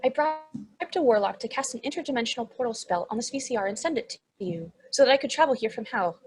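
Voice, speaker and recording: A woman's voice: fairly high pitch, lively intonation, quick pace, breathy edge. A woman playing an insecure thirteen-year-old demon, timid and apologetic, in a comedy script read. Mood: earnest, eager.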